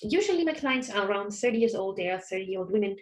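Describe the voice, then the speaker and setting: A woman whose first language is German, speaking English as an online counsellor in a casual interview, soft-spoken and a little hesitant with frequent small laughs, heard over a video call. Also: mid-range voice, lively intonation, fast. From Austria. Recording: roomy echo.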